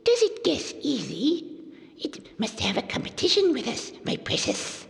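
Sneaky cave creature, high whispery hiss, gravelly, wild swoops in pitch. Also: male voice, wildly swooping pitch, a little slow, in a large hall, full character voice. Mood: sly riddling. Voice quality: hissing whisper. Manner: talks to himself, broken grammar. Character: slimy hissing cave creature, lonely, scheming.

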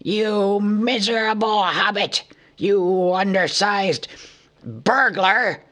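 A man's voice: fairly high pitch, expressive, a bit bright, pitched above the performer's own voice, exaggerated voice. A man reading an audiobook, voicing a proud, stubborn older dwarf king with a grave, wrathful manner. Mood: raging. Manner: grave and measured, growing furious.

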